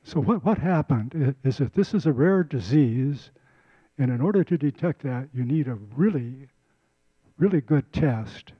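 A man's voice: mid-range voice, animated, moderate pace, dry recording, muffled recording. An older man giving a casual community lecture on a handheld mic, often repeating and restarting words. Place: lecture room.

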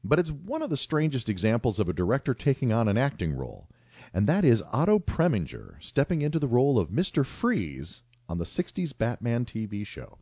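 Male podcast host, wry scripted delivery, wide-swinging pitch, dry slightly muffled sound. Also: wildly swooping pitch, brisk, a little mellow, husky, dry recording. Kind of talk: reading a prepared segment.

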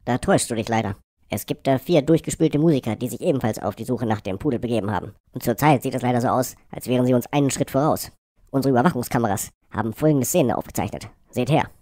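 A man's voice: mid-range voice, fast talker, darkish tone, no room sound, close to an everyday voice. Man playing a bossy secret-agency chief, dry recording. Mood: stern, urgent. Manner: commanding, mock-serious briefing. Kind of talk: satirical cartoon dialogue.

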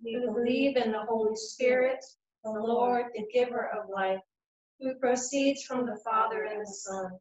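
A woman with a slightly high voice leads liturgical prayers in a measured, steady cadence. She is recorded on a webcam in a small room at home. The sound is echoey and a little dull and lo-fi. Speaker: church worship leader.

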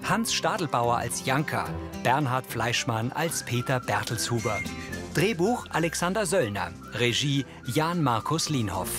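Guy narrating a film for audio description, neutral, mid voice, music underneath. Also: medium pitch. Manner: neutral, matter-of-fact. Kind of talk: scripted narration of film scenes.

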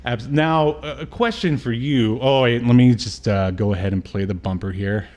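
A man's voice: medium pitch, normal speed. A middle-aged man chatting casually as a podcast host, talking from a room at home over a video call. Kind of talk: casual chat on a film review stream.

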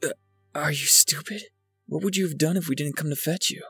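A man's voice: on the high side, fairly quick, a bit bright, roomy sound, soft background music. A man playing a curt, guarded young man in a fan dub, blunt and dry.

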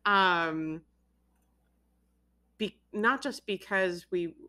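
Low-voiced enthusiastic woman interviewer. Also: extremely slow, bright, forceful tone, smooth. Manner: enthusiastic, talks in long explaining runs. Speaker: interviewer, host. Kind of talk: casual interview chat.

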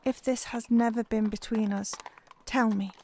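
A woman playing a dignified, sorrowful young Victorian woman, speaking in a measured, earnest way. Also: a little high, steady tone, warm tone, a little hiss or hum, natural voice. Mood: sad, resolute.

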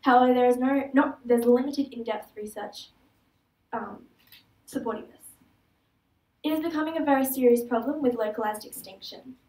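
Aussie teen girl, high voice, echoey hall, mic kinda far off. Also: moderate pace, pauses often, a little mellow. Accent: Australian. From Australia. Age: teenager. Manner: earnest, with small restarts and slips. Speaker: student speaker. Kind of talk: prepared stage talk. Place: large auditorium stage.

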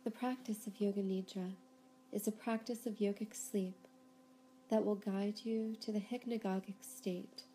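A woman leads a guided yoga nidra session in a soft-edged, relaxed voice. She speaks calmly and soothingly, leaving unhurried pauses between instructions. A faint rumble sits behind her voice. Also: poor audio.

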